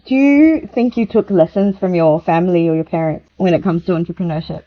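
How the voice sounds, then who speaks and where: A woman hosting an interview podcast, asking questions in a measured, polished presenter manner. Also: fairly low pitch, muffled recording. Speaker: podcast host. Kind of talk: scripted show intro and interview questions.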